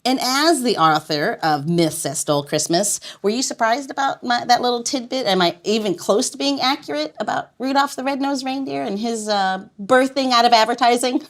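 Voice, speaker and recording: A woman's voice: on the high side, lively intonation, normal speed, hardly any pauses. A woman hosting a podcast in a casual, playful chat, laughing often. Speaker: podcast host.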